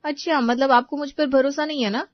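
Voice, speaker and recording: A woman's voice: high-pitched, fairly even intonation, a little fast, dry recording, dull audio, lightly acted. A woman playing a dutiful young Indian housewife who frets over household money in an acted family story. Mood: aggrieved.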